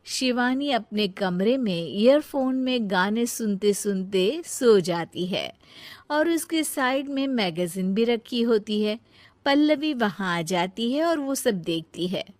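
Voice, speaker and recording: A woman narrator tells a cartoon moral tale from a script. Her delivery is slow and measured, and the recording is very dry. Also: on the high side, lively intonation, darkish tone, no echo.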